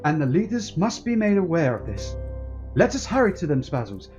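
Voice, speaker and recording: A man's voice: fairly high pitch, sing-song, moderate pace, darkish tone, dull audio, soft background music. A man voicing an earnest, urgent ally who speaks in solemn, formal phrases for a fantasy game story. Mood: grave, urgent. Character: earnest, urgent ally warning of grave danger, high-voiced.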